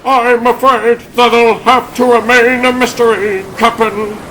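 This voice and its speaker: A man's voice: extremely high pitch, little pitch movement, a little slow. A man voicing an upbeat, easygoing animal superhero in a comic dub, with slight noise behind. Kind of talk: comic book dub acting.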